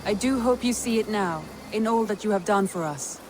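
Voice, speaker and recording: With clear ambient noise behind her, a woman plays a steadfast Norse stateswoman for a video game. Her voice sits at a middle pitch. She speaks formally and deliberately, sincere and composed. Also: lightly acted. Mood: earnest, assured. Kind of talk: video game dramatic dialogue.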